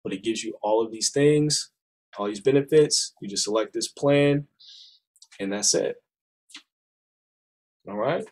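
A man's voice: fairly low pitch, measured pace, long pauses, a little mellow. Casual persuasive man on a video call. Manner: casual, persuasive, addresses listeners as y'all. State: hoarse. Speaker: business funding presenter. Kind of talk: screen-share sales walkthrough. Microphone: webcam on a video call.

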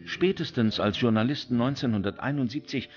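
A man's voice: medium pitch, fairly quick, dull audio, a little hiss or hum. A man reading scripted documentary narration as a narrator. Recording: music under the voice. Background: background music.

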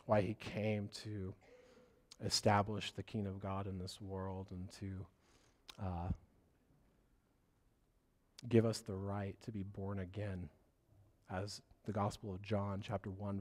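A man preaching a sermon as pastor, hoarse from a cough, with a scratchy voice and an earnest, rambling way of talking. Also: fairly low pitch, little pitch movement, takes it slowly, long pauses, a little mellow. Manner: earnest, loses his train of thought and apologizes.

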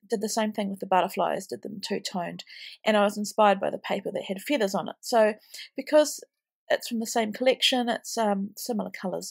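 A woman in her thirties talks through a craft project in a loose, rambling way, full of little ums. Her nose is blocked from a cold, so she sounds snuffly, and a gravelly fry runs through her mid-pitched voice. She sounds worn out, being late in pregnancy. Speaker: scrapbooking vlogger. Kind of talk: casual craft voice-over.

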